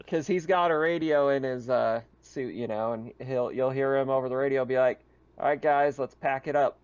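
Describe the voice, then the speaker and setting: A man's voice: medium pitch, steady tone, moderate pace, a little mellow, smooth, dull audio. A man running a tabletop roleplaying game, explaining rules and narrating in a casual, conversational way. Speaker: game master.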